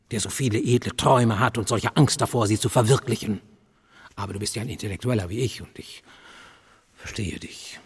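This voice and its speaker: An actor playing a cynical, urgent older intellectual mentor in a radio play, persuading insistently with a husky, gravelly voice. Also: male voice, fairly low pitch, heavily acted. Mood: bitter irony. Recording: long reverberant hall.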